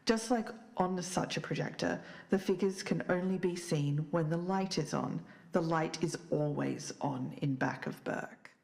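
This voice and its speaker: Low-voiced, measured woman investigator played by an actress. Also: gravelly, a little mellow. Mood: solemn, measured. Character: earnest, faintly bitter female podcast investigator reading evidence aloud. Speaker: podcast co-host. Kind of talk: scripted fiction-podcast narration.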